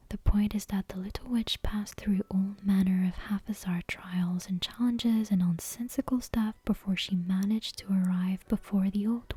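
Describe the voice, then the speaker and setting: A woman softly whispering a bedtime story in an ASMR style, close to the microphone. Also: medium pitch, breathy edge. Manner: soft, unvoiced whispering.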